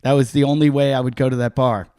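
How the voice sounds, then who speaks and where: A man's voice: mid-range voice, steady tone, fast talker, a little mellow, no room sound. This is a middle-aged man from Pittsburgh hosting a casual podcast. His voice sits in the middle range and sounds dry and close on the mic. He tells loose, self-mocking stories and jumps into short impressions of the people in them. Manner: rambling, self-mocking, acts out voices in anecdotes. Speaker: podcast host, comedian. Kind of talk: casual storytelling chat.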